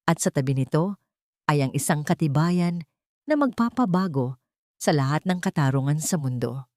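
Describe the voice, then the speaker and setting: A woman's voice: deep voice, expressive, moderate pace, a little mellow, no echo, clean studio sound. A woman with a Filipino accent reading a dramatic love story as a narrator, solemn and emotive. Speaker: narrator of audio drama stories.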